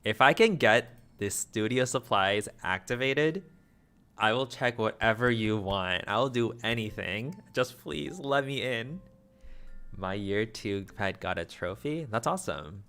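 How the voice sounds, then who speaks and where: A young man streaming a game, chatting casually with viewers in a flat, low-energy way, full of self-deprecating asides. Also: a little slow, clean tone. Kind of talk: casual livestream chat.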